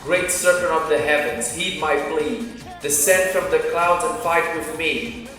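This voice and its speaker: A man's voice: fairly high pitch, a little slow, bright, forceful tone. Male actor as confident card duelist, theatrical and formal, fan anime roleplay, echoey sound over music. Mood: grand, invoking. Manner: declamatory, announces each move formally. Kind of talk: fan roleplay anime-style duel acting. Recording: roomy echo under music.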